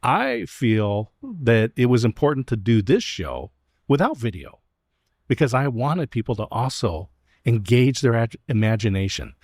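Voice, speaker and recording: A man hosting an interview, leading the talk with thoughtful, considered questions. His pitch moves with a lively range. The voice sounds dry and close to the mic. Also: measured pace, slightly rough, dry recording. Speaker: host. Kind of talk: interview host. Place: stadium club room.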